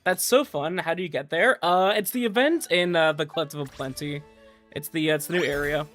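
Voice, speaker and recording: A man's voice: a little high, fairly quick, a bit bright. A man livestreaming a game, chatting casually in an enthusiastic, rambling way and often restarting his sentences. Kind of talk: casual chat while streaming gameplay. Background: music.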